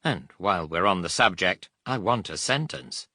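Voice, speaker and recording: A man's voice: deep voice, animated, moderate pace, bright, forceful tone, no room sound, clean studio sound, heavily acted. A man voicing a defiant, demanding captive British air force officer, speaking in an insistent, indignant, clipped way.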